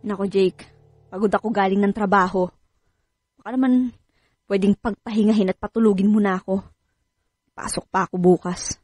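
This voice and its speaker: A woman's voice: medium pitch, steady tone, moderate pace, long pauses, darkish tone, dry recording, close to an everyday voice. An actress with a Filipino accent playing a weary, reproachful working wife and mother in a radio drama. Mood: tired, subdued. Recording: dry studio with music underneath.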